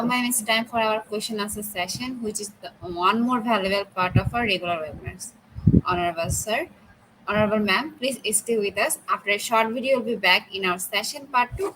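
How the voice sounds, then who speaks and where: A woman's voice: mid-range voice, bright, forceful tone, a little hiss or hum, rough recording. A woman hosting a webinar, making formal, polite announcements. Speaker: webinar host.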